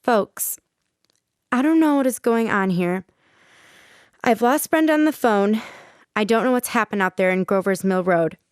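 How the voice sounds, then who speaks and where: A woman's voice: medium pitch, unhurried, smooth, no echo, studio quality, close to an everyday voice. A woman playing an anxious radio host in an audio drama, questioning and urging on her reporter with insistence. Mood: worried, bewildered. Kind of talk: modern radio drama acting.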